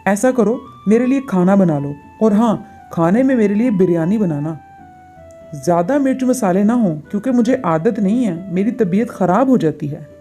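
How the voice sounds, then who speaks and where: A bossy older auntie in a family storybook tale, acted by a woman. She orders and finds fault in a soft-edged, mid-pitched voice, with music underneath. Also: lively intonation, normal speed, lightly acted. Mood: scolding, demanding. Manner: commanding, complaining. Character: bossy, demanding, fault-finding older visiting aunt.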